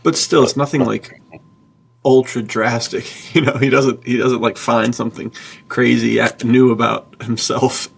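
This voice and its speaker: A man chatting informally as a podcast co-host, thinking aloud in a husky, gravelly voice. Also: mid-range voice, fairly even intonation, unhurried. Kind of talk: casual chat.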